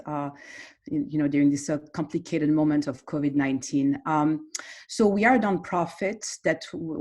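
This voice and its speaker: A woman's voice: sits low, a little mellow. A woman from Morocco, a therapist, speaking earnestly on an online panel, hesitant with many fillers. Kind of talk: panel talk on a video call. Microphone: video call.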